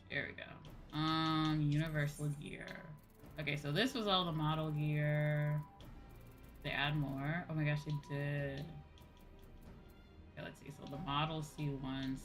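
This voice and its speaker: A woman chats casually on a game livestream in a bright, forward voice that sits a little low. Her manner is excitable and full of exclamations. Faint music plays behind her.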